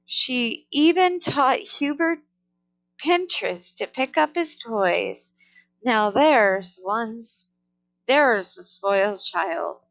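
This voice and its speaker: Woman doing a folksy, slow-talking housemaid. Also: on the high side, lively intonation, fairly bright tone, highs cut off, heavily acted. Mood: blunt, knowing. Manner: folksy, colloquial, emphatic. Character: plain-spoken, folksy housemaid, chatty and blunt.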